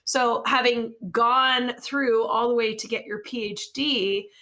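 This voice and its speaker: A woman host with a bright, crisp voice. She affirms what her guest has said and asks thoughtful follow-up questions. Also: on the high side, fairly even intonation, slow. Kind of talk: interview questions.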